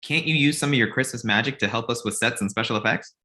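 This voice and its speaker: A man's voice: medium pitch, fast talker, pushed and bright, close to an everyday voice. A man playing a glib, self-deprecating young filmmaker in a comedy table read, casual and wry. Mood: persuasive.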